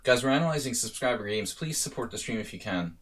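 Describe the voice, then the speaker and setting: A man's voice: mid-range voice, lively intonation, fast talker, a bit bright. A man streaming live chess analysis as a coach, thinking aloud in a casual way with sudden bursts of emphasis.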